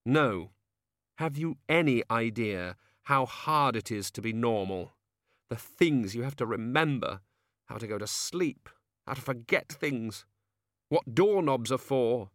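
A man voicing a brisk, exasperated young woman in a story, crisp and dryly sarcastic. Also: medium pitch, expressive, fairly bright tone, dry recording, studio quality.

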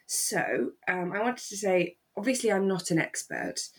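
A woman's voice: mid-range voice, lively intonation, moderate pace, breathy edge. A British woman chatting casually as a podcast co-host, with an informal, careful way of wording things. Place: remote call from home.

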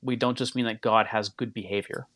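A man's voice: fairly low pitch, fairly even intonation, brisk, fairly bright tone, dry recording, clean studio sound. A man speaking as a podcast guest, thinking aloud and explaining in a reflective, conversational way.

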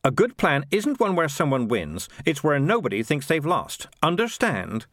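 A man's voice: fairly high pitch, huge pitch swings, moderate pace, fairly bright tone, no echo, studio quality, voice pushed up, heavily acted. Morris, a sardonic grumbling tomcat, voiced by a man. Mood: wry, grumbling. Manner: wry, grumbling, sarcastic. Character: Morris, a cynical, sardonic streetwise male tomcat.

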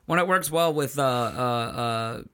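A man co-hosting a music podcast, relaxed and stammering a bit as he thinks aloud. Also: mid-range voice, measured pace, clear, resonant tone. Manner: laid-back, laughs often, stammers when thinking. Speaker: podcast co-host. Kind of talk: casual music chat.

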